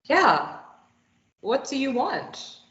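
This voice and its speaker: A woman's voice: high-pitched, sing-song, drawn-out words, fairly bright tone, full character voice. A woman reading a sardonic, probing young captive at a play table read, teasing and wry. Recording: echoey room, muffled lo-fi.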